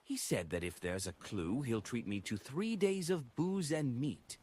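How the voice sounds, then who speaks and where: A man's voice: medium pitch, animated, a little slow, darkish tone, breathy edge, pitched above the performer's own voice. A man voicing a rambling, droning drunkard in an audiobook, sighing over a poor friend. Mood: rambling, rueful. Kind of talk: audiobook character dialogue.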